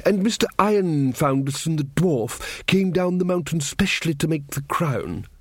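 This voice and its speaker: A man's voice: a little high, expressive, normal speed, voice pushed up. A man voicing an earnest, pedantic young king who stammers and lectures cheerfully. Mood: earnest, fussy. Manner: pedantic, self-satisfied, stammering.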